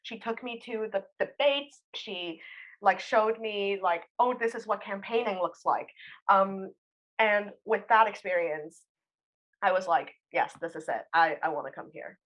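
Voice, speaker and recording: A woman's voice: medium pitch, unhurried, a bit bright, lo-fi sound. A young woman student casually telling personal stories, acting out bits of conversation as she goes. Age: young adult. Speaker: student panelist.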